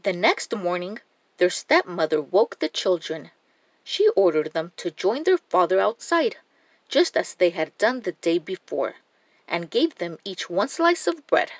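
Slow, lively woman reading a storybook. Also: lowish voice, dry recording. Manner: slow, deliberate, expressive read-aloud delivery. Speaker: storyteller reading children's books. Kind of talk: scripted children's storybook narration.

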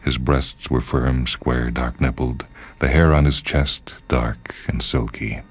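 A male story reader with a remarkably deep bass voice. He delivers scripted literary narration with drama, and music plays softly behind him. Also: steady tone, takes it slowly, breathy edge.

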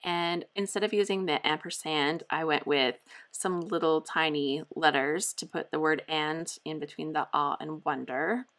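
Woman, middle-aged, slightly low voice, chatty voice-over, craft video host, self-amused. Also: fairly even intonation, normal speed, hardly any pauses, fairly bright tone. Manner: chatty, self-amused, with frequent light laughs. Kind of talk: casual crafting voice-over narration.

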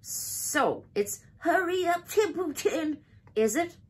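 A woman's voice: very high voice, expressive, takes it slowly, pitched above the performer's own voice, exaggerated voice. A woman voicing a grumpy, lazy, put-upon male rat in a children's storybook, mocking and sarcastic as he grumbles. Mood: mocking, resentful.

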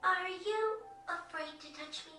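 A woman playing a gentle, lonely young girl in a video game, speaking softly and politely in a girlish voice with some hesitation. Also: unhurried, echoey room, recorded from a distance, soft background music, exaggerated voice. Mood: sad, hesitant. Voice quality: high, girlish. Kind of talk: English video game cutscene acting. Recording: TV game audio recorded from across room.

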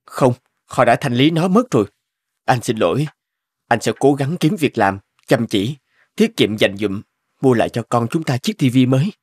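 A man's voice: medium pitch, expressive, normal speed, husky, no echo, clean studio sound. A man playing a kind, modest, apologetic working father in a dubbed cartoon, with music behind him.

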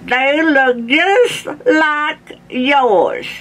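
A woman's voice: extremely high pitch, big pitch swings, extremely slow, a bit bright, voice pushed up, full character voice. A woman voicing a distraught, indignant old grandpa in a storybook, melodramatic and wailing. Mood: suspicious.

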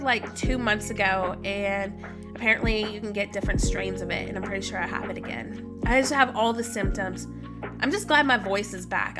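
A woman in her thirties, stuffy from a cold, chatting casually with viewers while livestreaming a game and greeting them by name. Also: fairly high pitch. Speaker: streamer. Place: home room, indoors. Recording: music playing behind voice.

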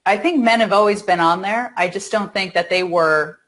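A woman's voice: mid-range voice, moderate pace, fairly bright tone. A middle-aged woman co-hosting a casual discussion over a video call. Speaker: talk show co-host. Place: home room, video call.

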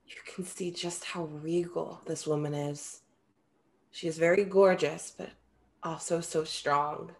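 A young woman playing a composed, perceptive muse in a staged play reading, with measured, formal diction. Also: low-pitched, fairly even intonation. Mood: gentle, frank. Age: young adult. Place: small room at home, video call. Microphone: video call.

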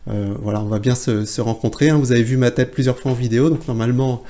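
A man's voice: medium pitch, fairly even intonation, fast talker, mellow. A man in his forties, a photography trainer, talking casually to camera in a plain indoor room, chatty and full of fillers and restarts. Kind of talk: casual video blog to camera.

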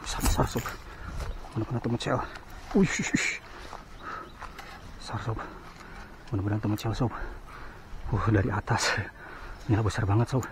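A man vlogging while slingshot fishing on a riverbank, giving excited running commentary. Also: on the low side, normal speed, pauses often, background noise. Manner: excited exclamations and frequent thanks to God. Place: outdoors on a riverbank in hot sun. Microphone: head-mounted action camera.

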